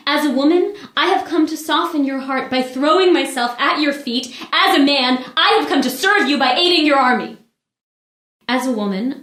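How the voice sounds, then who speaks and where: A woman's voice: fairly high pitch, a bit bright. An actress playing a proud, wronged noblewoman in a classical stage drama, speaking in formal, declamatory speeches. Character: wronged noblewoman in armor, proud, pleading, vengeful. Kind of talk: classical stage verse drama, unrehearsed read. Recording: roomy echo around the voice.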